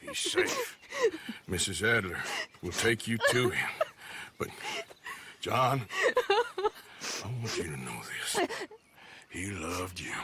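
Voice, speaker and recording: An actor playing a weary, ill outlaw in a video game cutscene, speaking gravely and haltingly. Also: male voice, fairly low pitch, bright, forceful tone, slight background noise, exaggerated voice. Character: weary dying male outlaw, gruff and loyal.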